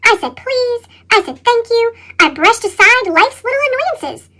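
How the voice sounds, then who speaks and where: A performer voicing a lively, animated chipmunk storyteller with a sped-up pitch effect, reading a children's picture book expressively and dramatically. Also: measured pace, exaggerated voice. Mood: sweet, reminiscing. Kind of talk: read-aloud children's picture book narration.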